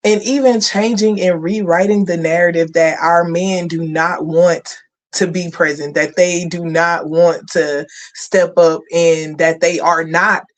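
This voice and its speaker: A man's voice: on the high side, steady tone, a little slow. A man hosting a community radio talk show, chatting in a conversational, affirming way. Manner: conversational, affirming, lots of "you know". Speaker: host.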